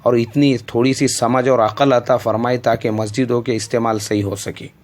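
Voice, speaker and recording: A man's voice: mid-range voice, little pitch movement, moderate pace, a little mellow. Emphatic preaching Indian man. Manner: scolding, emphatic repetition. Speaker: Islamic scholar answering questions. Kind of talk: religious Q&A answer, preaching.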